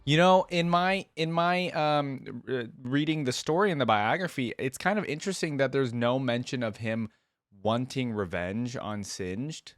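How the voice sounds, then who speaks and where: A man's voice: medium pitch, measured pace, dry recording, clean studio sound. A man hosting a reaction video, giving casual commentary and musing aloud in a conversational way.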